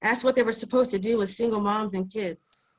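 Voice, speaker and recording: A woman's voice: medium pitch, steady tone, fairly quick, lightly acted, rough recording. A woman playing a defiant, wary young girl in a screenplay table read, speaking bluntly and informally.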